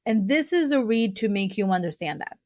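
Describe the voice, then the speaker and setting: A woman's voice: medium pitch, expressive, a little fast, darkish tone, no echo, studio quality. A young woman from Portugal, hosting her own podcast and talking earnestly about books, with fillers and restarts along the way. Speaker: podcast host. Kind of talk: casual podcast talk recommending books.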